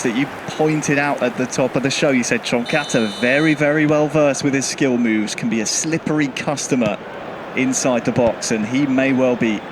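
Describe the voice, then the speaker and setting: A man's voice: medium pitch, background noise. A man commentating live on an esports match as host, speaking into a headset. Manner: builds to excited calls. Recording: music playing behind.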